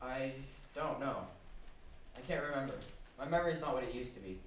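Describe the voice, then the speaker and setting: A man playing a resigned, obedient worker who clings to his orders, speaking stiffly and deliberately in an amateur stage play. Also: medium pitch, expressive, natural voice. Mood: subdued. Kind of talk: amateur stage one-act play, absurdist dialogue. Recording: very echoey hall, distant mic, faint noise.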